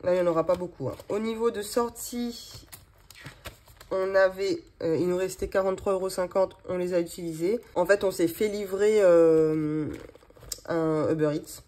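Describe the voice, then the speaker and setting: A woman's voice: on the low side, steady tone, moderate pace, warm tone. A woman in her thirties with a regional French accent, chatting casually and rambling with many fillers while going through her monthly budget. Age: 30s. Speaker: budgeting vlogger. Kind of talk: casual chat while doing a cash-envelope budget.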